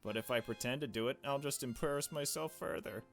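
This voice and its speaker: A man with a mid-pitched voice narrates a visual novel as he plays it. He reads casually and adds offhand comments, with game music behind him. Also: fairly quick, vocal fry. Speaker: gamer reading a visual novel aloud. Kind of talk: casual let's play narration with asides. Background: game music with strings.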